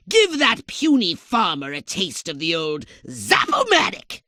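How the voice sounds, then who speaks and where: Haughty alien commander, wildly swooping delivery. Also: male voice, high-pitched, sharp tone, dry recording, clean studio sound, exaggerated voice. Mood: urgent, gleeful. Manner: pompous, theatrical, clipped enunciation, sardonic. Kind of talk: scripted video game mission dialogue.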